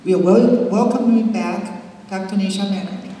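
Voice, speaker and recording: A man's voice: high-pitched, normal speed, warm tone. A man acting as event host, giving a formal welcome to introduce a speaker. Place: large resonant hall. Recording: very echoey hall, distant from mic.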